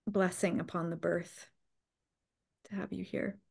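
A woman's voice: lowish voice, level tone, unhurried, vocal fry, a little mellow, breathy edge. A woman co-hosting a live video call in casual chat, speaking hesitantly in trailing phrases. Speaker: writer co-hosting a live online roundtable.

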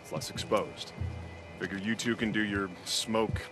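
Low-voiced, gruff cop played by a man. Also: a little slow, natural voice. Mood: stern, matter-of-fact. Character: protective, by-the-book cop, stern older brother. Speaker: video game actor. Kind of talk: cinematic game dialogue. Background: dramatic music.

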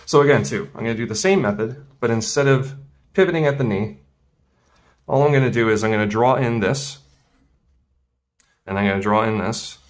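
A man's voice: mid-range voice, moderate pace, long pauses. A man teaching as a tailoring instructor in a casual hands-on demonstration, informal and thinking aloud as he works. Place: indoors at a work table.

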